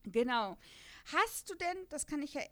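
Young woman chatting on a podcast, slightly high voice, lots of ums. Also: sing-song, normal speed, bright, forceful tone. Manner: chatty, many fillers, laughs between points. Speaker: podcast host. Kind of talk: casual podcast chat about an audio drama.

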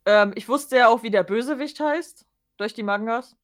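A woman co-hosting a casual podcast, answering in a relaxed, giggly way. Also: a little high, moderate pace. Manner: relaxed, giggly, short replies. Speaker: podcast co-host. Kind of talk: casual chat.

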